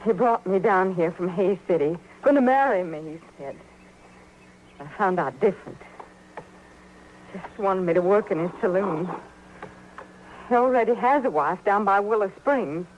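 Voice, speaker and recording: A slightly noisy old radio western recording of a woman acting as an American saloon girl on the run. Her voice is mid-pitched and dramatic, halting and pleading, desperate yet full of pluck. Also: lively intonation, dull audio, lightly acted. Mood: pleading, bitter.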